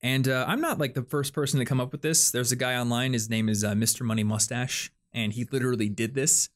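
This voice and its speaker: A young man talks through ideas on a casual podcast. He explains loosely and often fills pauses with "like" and "um". His voice sits in a middle range. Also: mid-range voice, fairly even intonation. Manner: chatty, explains things with lots of "like" and "um". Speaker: podcast host.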